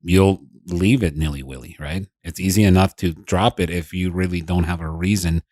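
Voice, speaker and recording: A man's voice: low voice, fairly even intonation, normal speed, darkish tone, dry recording, clean studio sound. A man co-hosting a podcast, explaining ideas in casual chat and repeating words as he thinks. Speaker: podcast co-host.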